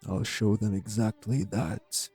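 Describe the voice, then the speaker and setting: A man playing an arrogant, obsessive vampire villain in an audio drama, with a dark, raspy voice and grandiose, measured menace. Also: on the low side, flat delivery, faint music behind, heavily acted. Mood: contemptuous. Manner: grandiose, formal, measured threats. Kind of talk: dramatic audio roleplay acting.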